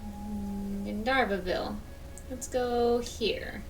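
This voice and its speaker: A woman's voice: medium pitch, steady tone, slow pace, music in the background. A woman streamer giving casual live game commentary and reading text aloud. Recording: distant mic with background noise.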